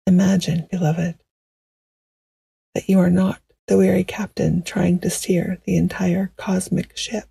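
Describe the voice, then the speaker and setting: A woman guiding a meditation in a gentle, hushed, poetic style, with a soft, breathy voice that often nears a whisper. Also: lowish voice, little pitch movement, no echo. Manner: gentle, hushed, unhurried, soothing. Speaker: meditation guide. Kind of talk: slow poetic guided meditation narration.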